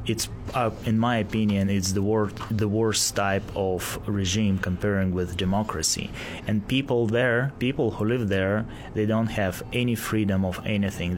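A man from Ukraine with a clear Ukrainian accent answers interview questions in careful, halting English. His voice sits fairly low, and he pauses and restarts as he searches for words. A faint background hum runs under the recording. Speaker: guest, police officer. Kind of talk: radio interview.

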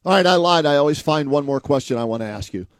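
A man's voice: on the high side, expressive, fast. A man hosting a casual sports radio interview, putting questions in an informal, chummy way.

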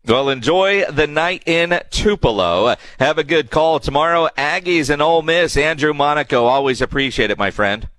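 A man's voice: a little high, moderate pace, hardly any pauses, fairly bright tone, dry recording. A man hosting sports radio in an easygoing, conversational way. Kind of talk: casual radio interview and sponsor reads.

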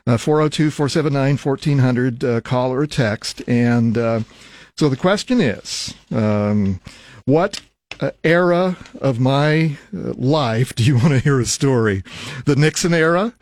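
An older American man hosting a radio talk show, speaking casually in a halting, reflective, rambling way on a close studio microphone. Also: mid-range voice, a little mellow, no echo. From Nebraska, United States.

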